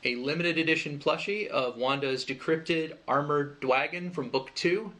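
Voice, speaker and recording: A man giving an informal crowdfunding pitch, explaining things in a loose, conversational way. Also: moderate pace, fairly bright tone. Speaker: project creator pitching a fundraiser.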